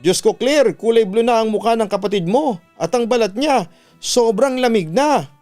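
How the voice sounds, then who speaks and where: A man's voice: extremely high pitch, moderate pace, mellow, soft background music, pitched above the performer's own voice. A man voicing a sassy, caring young male best friend in a radio story, with a chummy, gossipy manner. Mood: panicked.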